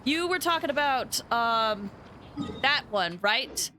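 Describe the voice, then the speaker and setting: A woman game streamer chatting casually with her viewers in an excitable, chatty manner. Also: fairly high pitch, takes it slowly, a little hiss or hum. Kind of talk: casual livestream chat with viewers.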